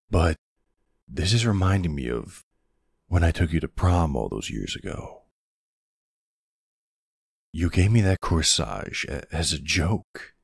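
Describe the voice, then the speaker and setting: A man playing a tender, protective older ex-soldier boyfriend with a husky, gravelly voice in an intimate ASMR roleplay. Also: a bass voice, no room sound, clean studio sound, full character voice. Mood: earnest, apologetic. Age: middle-aged or older character. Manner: affectionate teasing, hesitant pauses, soft reassurance.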